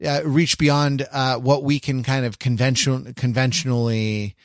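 Halting man in a dry, close recording. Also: mid-range voice, little pitch movement, dry recording. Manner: hesitant, halting delivery with repeated false starts. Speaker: podcast co-host. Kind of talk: casual conversational podcast chat.

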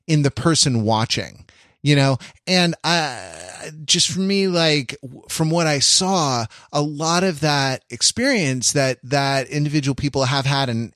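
A man hosting a podcast in a casual conversational style, thinking aloud in a halting, rambling and reflective way. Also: mid-range voice, a bit bright, dry recording, studio quality. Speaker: podcast host.

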